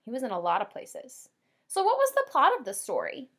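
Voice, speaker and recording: A woman kindergarten teacher doing an expressive read-aloud for young children, with drawn-out emphasis and simple explanations. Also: high voice. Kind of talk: animated children's read-aloud with comprehension questions.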